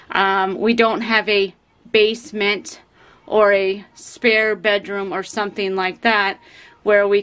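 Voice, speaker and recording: Slow-talking woman homesteader on camera. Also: mid-range voice, fairly bright tone. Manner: chatty, explanatory, with many fillers. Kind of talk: casual how-to vlog. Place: porch outdoors, then inside a small home. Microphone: handheld phone camera.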